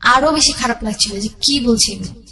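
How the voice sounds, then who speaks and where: High-voiced young woman telling her story. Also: sharp tone, low-quality recording. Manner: halting, repeats herself, mixes in English words. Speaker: guest telling own life story. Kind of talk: personal spoken storytelling.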